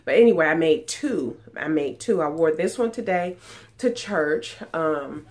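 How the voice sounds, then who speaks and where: A low-pitched woman speaks in a nasal, stuffed-up voice because of a cold. She rambles in a chatty way, full of ums and false starts, as she talks to a webcam in a small room at home. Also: animated, measured pace, darkish tone. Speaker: sewing vlogger. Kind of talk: casual chat. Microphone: webcam at desk distance.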